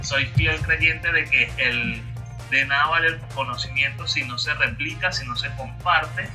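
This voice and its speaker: A Venezuelan man in his twenties, a radio announcer hosting a casual podcast chat, talking in a chatty, animated way and correcting himself as he goes. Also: on the high side, a little slow, distant mic, faint music behind, rough recording. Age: 20s.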